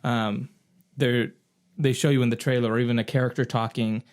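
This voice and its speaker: A man's voice: mid-range voice, steady tone, brisk. A man hosting a casual pop-culture podcast, chatting in an informal, conversational way. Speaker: podcast host.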